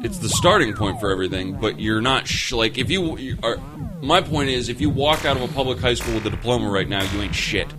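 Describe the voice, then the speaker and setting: A man's voice: mid-range voice, lively intonation, a little fast, music in the background. A man in a casual political debate among friends, swearing freely and pressing his points emphatically. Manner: profane, emphatic, argumentative.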